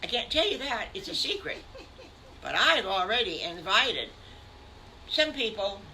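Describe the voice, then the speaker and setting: A woman voicing a kind but firm mother pig in a storybook tale, gently bossy. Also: mid-range voice, very animated, measured pace, a little hiss or hum, voice pushed up, heavily acted, rough recording. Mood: motherly.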